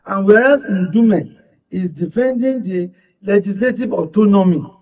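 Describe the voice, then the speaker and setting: High-pitched, husky Nigerian man, formal and wordy. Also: measured pace. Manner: formal, wordy, proverbial turns of phrase. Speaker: panel guest, media analyst. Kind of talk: political panel discussion. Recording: distant mic, dull lo-fi sound.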